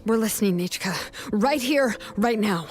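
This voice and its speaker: A woman's voice: mid-range voice, moderate pace, lightly acted. A woman voicing a stern, protective young smith in a video game, speaking firmly and bluntly. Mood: stern, demanding. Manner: firm, authoritative. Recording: game audio under music.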